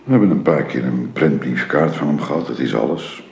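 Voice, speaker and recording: Solemn middle-aged dad in a radio play, low gravelly voice, caring. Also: male voice, a little fast, darkish tone, exaggerated voice. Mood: gentle, grave. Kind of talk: radio drama acting.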